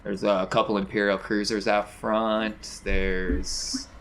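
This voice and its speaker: A man's voice: fairly low pitch, little pitch movement, slow pace, a bit bright, slight background noise. A man running a tabletop game as game master, narrating off the cuff in a conversational, descriptive way.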